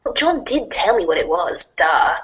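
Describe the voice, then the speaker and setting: A woman's voice: lowish voice, big pitch swings, moderate pace. A woman voicing a chirpy, teasing teenage girl in a dramatic reading of a webcomic script. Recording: dull lo-fi home recording.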